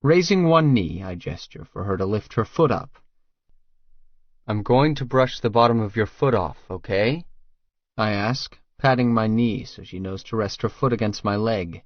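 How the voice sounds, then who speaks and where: A man's voice: lowish voice, lively intonation, normal speed, dry recording, dull audio. A man narrating first-person fiction as a tough, crude, brooding hitman, terse and guarded. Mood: brooding, smitten. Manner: terse, hard-boiled, profane. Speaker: audiobook narrator.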